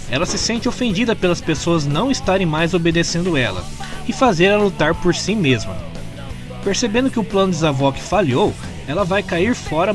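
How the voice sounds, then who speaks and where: A Brazilian man narrates a scripted story recap with an expressive delivery. His voice sits fairly high and swings widely in pitch. Guitar music plays clearly behind him.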